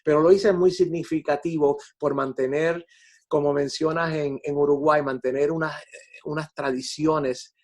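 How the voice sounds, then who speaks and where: An older man with a Puerto Rican Spanish accent, a composer reflecting in an interview, haltingly repeating words as he explains. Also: a little high, steady tone. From Puerto Rico.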